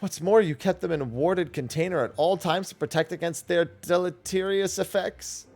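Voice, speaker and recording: A man voicing a boastful, smooth-talking merchant from a video game, with florid sales patter. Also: high voice, normal speed, darkish tone, faint music behind, pitched above the performer's own voice. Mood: dismayed. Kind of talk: game character dialogue read aloud.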